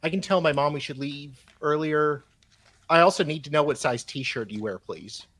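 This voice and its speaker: A man's voice: on the high side, moderate pace, fairly bright tone, no room sound. A man on a live stream panel, chatting casually and reading things aloud.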